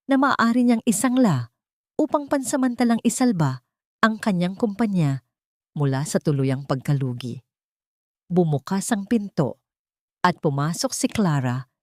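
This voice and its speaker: A woman's voice: lowish voice, darkish tone, no room sound, studio quality. A woman storyteller reading scripted, dramatic fiction narration as a voice-over. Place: dry, close voice-over recording.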